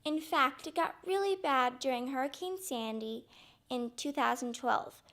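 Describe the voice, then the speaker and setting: A woman hosts a documentary in an animated, expressive way. Her voice is high and bright with a gravelly edge. Faint music sits under her. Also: measured pace. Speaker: documentary host. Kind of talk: presenting narration.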